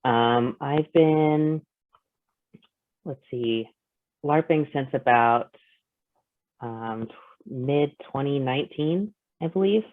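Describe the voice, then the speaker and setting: Slow, hesitant male voice, phone-like sound. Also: medium pitch, no echo. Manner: hesitant, halting. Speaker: panel guest. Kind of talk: casual self-introduction. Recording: thin phone-like call, dry.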